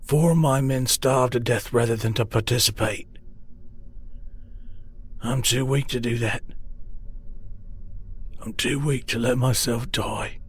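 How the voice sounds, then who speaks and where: Man acting a husky, grave cursed beast. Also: medium pitch, steady tone. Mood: grave confession. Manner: grave, measured, apologetic. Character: grotesque cursed man-eating beast, remorseful outcast leader. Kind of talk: dramatised fantasy horror audiobook.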